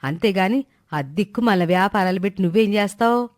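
A woman voicing a stern but soft-hearted older village mother in a moral story, scolding in colloquial rustic speech. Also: mid-range voice, a little fast, lightly acted. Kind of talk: animated moral story dialogue.

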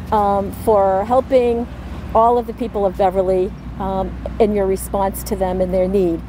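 A woman hosting an outdoor award ceremony from a podium, speaking warmly with frequent ums. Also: medium pitch, background noise. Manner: warm, with frequent "um" fillers. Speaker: senior center organizer hosting a ceremony. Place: outdoors at a podium in front of a brick building. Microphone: podium mic.

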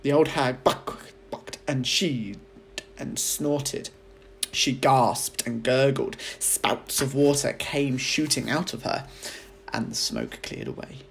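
A man, a teacher reading a children's audiobook aloud as an expressive storyteller. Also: medium pitch, slow, gravelly, slight background noise, poor audio.

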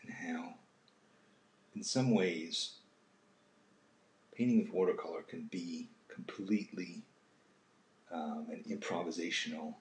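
A man in his sixties hosting a casual live drawing session, speaking haltingly and thoughtfully and often trailing off into a murmur. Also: low-pitched. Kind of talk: unscripted casual talk while drawing. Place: home kitchen, indoors. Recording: roomy echo, faint background noise.

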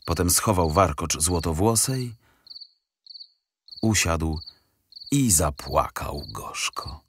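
A man narrating a fairy tale from a script in an expressive, dramatic storytelling manner. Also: sits low, takes it slowly, background noise. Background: music.

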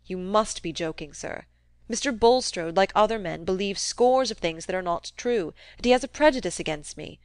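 Resentful young gentleman, stiff and proper, dry close sound, read by a woman. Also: medium pitch, moderate pace, no room sound, natural voice. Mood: sulky. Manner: stiffly polite, subdued. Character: sulky polite young gentleman.

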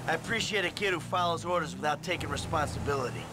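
A man's voice: on the high side, quick pace, natural voice. An actor playing a jaded, hot-tempered, wealthy middle-aged American man in a video game, sardonic, threatening and profane. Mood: cold menace. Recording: game audio over car and street noise.

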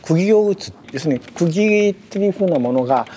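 A man speaking reflectively in an interview as a performer, pausing often to search for words. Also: sits high, animated, dark tone, slight background noise. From Japan. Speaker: performer and artist's collaborator. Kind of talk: reflective interview in short phrases.

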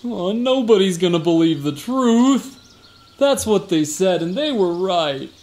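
A man's voice: high-pitched, lively intonation, unhurried, darkish tone. A man playing a boastful, evasive security guard in a comic radio drama, folksy, slangy and given to exaggeration. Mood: sheepish, bragging. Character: boastful, evasive tough-guy security guard, a fibbing male cousin.

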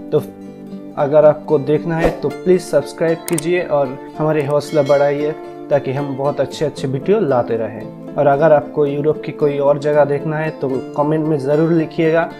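Male travel vlogger, slightly high voice, casual guiding narration, clear background music.

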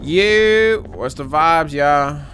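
A man in his twenties giving casual, slangy, jokey gaming commentary with some swearing and excitable reactions. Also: on the high side, extremely slow. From Dominican background. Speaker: gaming video host. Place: indoor room, gaming setup. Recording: noticeable background game noise.

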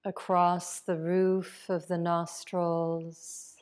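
A woman leading a guided meditation as a yoga nidra teacher, calm and unhurried, leaving long pauses between phrases. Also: fairly low pitch, smooth.

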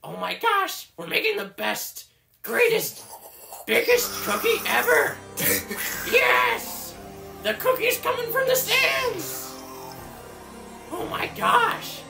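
Very shrill, boastful cartoon bird villain. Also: male voice, very animated, slow, gravelly, long pauses, echoey room, music in the background, heavily acted. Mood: grandiose, excited. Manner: grandiose, menacing declarations. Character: boastful, murderous cartoon bird racer obsessed with cookies. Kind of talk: comedic cartoon fandub.

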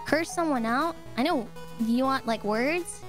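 A woman's voice: sits high, a little slow. A young woman, chatting casually over a voice call as she tries out lines. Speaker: voice performer recording bot lines. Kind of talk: casual chat, trying out short lines. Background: video game music.

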